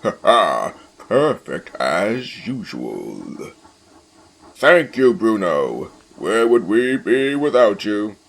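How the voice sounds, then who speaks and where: A man's voice: fairly low pitch, big pitch swings, very slow, vocal fry, exaggerated voice. A man playing a big, boastful express engine in a children's railway story, with a pompous, self-satisfied manner. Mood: proud, pleased.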